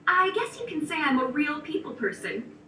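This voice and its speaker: Peppy virtual assistant lady, woman doing it, high voice, playing off a speaker far off in a big echoey room with faint hum. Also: very animated, normal speed, bright, forceful tone, exaggerated voice. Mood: cheerful. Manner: chirpy, scripted sales patter. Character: upbeat polite young female virtual receptionist. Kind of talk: scripted promotional lines. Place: large showroom. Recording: played back in a roomy showroom, heard from afar.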